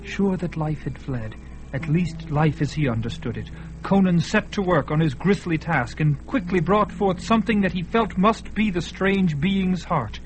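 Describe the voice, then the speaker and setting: A man's voice: sits high, normal speed, low-quality recording. Male radio drama narrator over music.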